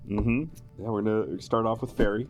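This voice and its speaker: A man's voice: on the low side, fairly even intonation, moderate pace, a little mellow, faint music behind. An amused, playful man, explaining a tabletop game character build in a chatty style. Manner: amused, playful, chuckling often. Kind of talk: explanatory chat with a co-host.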